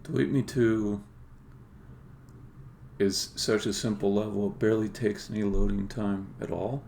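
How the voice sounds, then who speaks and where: Low-pitched, gravelly man thinking aloud. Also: steady tone, a little slow, mellow. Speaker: game developer streaming live coding. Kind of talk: casual live coding commentary, thinking aloud. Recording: background keyboard typing and clicking noise. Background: computer keyboard typing, mouse clicks.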